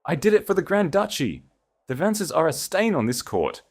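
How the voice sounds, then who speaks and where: A man's voice: a little high, very animated, normal speed, gravelly, a little mellow, slightly rough, pitched above the performer's own voice, exaggerated voice. A haughty, scheming noblewoman, acted by a male narrator in a storybook romance. The voice is lifted well above the narration, desperate and pleading to the edge of hysteria.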